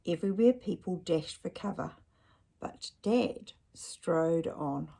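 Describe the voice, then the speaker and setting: A woman's voice: lowish voice, slow pace, gravelly, warm tone, a little hiss or hum. A woman reading a children's picture book aloud expressively, with chatty asides and questions to young listeners.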